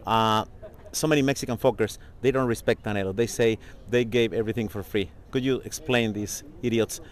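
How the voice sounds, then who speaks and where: A man's voice: fairly low pitch, fairly even intonation, fairly quick. Spanish-speaking guy doing an interview in english, gushing, laughs a lot. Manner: emotional, effusive, laughs often. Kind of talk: interview questions.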